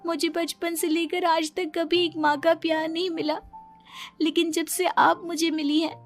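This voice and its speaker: Woman playing a high, meek young daughter-in-law. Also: level tone, moderate pace, soft background music. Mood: tearful gratitude. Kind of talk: dramatized Hindi moral story for animation.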